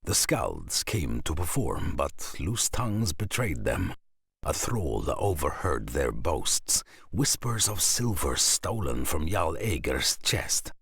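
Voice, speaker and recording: A man playing a grave old Norse storyteller, narrating a saga in a solemn, ominous way with a gravelly, husky voice. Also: very deep voice, big pitch swings, slow, a bit bright, no echo, studio quality, heavily acted.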